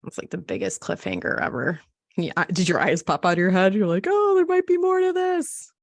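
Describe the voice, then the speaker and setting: Woman, podcast interviewer, casual talk, vocal fry, close dry recording. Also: medium pitch, big pitch swings, brisk, no room sound.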